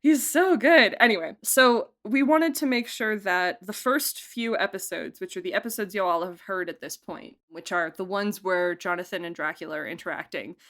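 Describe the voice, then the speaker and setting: A woman who produced and directed an audio drama, talking casually in an interview, chatty and animated, laughing often. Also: mid-range voice, moderate pace, hardly any pauses.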